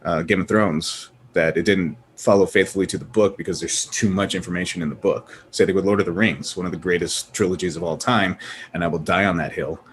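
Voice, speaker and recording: A man's voice: deep voice, steady tone, a little fast, a little hiss or hum. A man talking casually and emphatically as host of a panel discussion, rambling through his opinions. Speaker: podcast host.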